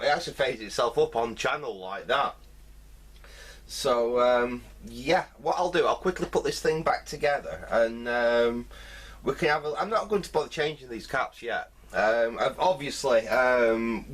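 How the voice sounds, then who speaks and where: A man's voice: mid-range voice, husky. A man giving a casual hands-on repair commentary, thinking aloud hesitantly in fragments. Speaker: host of a vintage electronics repair video.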